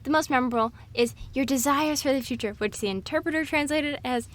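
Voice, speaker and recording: A woman's voice: high voice, a little fast. A woman in a casual, chatty, scatterbrained monologue, rambling through trivia with an amused manner. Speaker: audio roleplay performer playing a rambling girlfriend.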